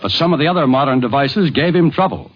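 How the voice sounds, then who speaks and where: Male documentary narrator, lively and fairly high-pitched, dull old radio sound with music. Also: fast talker. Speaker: documentary host. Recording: dull vintage radio recording.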